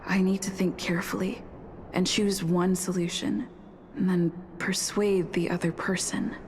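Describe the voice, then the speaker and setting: A woman voicing the player's calm, reflective inner monologue in a game cutscene. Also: on the low side, measured pace, a little hiss or hum. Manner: calm, reflective, evenly paced. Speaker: player character thinking aloud. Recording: studio dub with music underneath.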